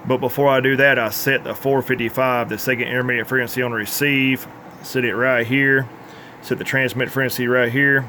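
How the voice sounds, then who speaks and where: A man's voice: medium pitch, flat delivery, fairly quick, sharp tone, slight background noise. A man giving an informal, plainspoken workbench demonstration as a radio repair technician. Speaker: CB radio repair technician presenting his tune-up work.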